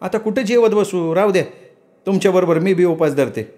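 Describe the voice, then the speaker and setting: A man's voice: high voice, brisk, warm tone, voice pushed up, close to an everyday voice. A man voicing a proud, irritable young village wife who answers in curt, scolding retorts. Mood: sharp, irritated.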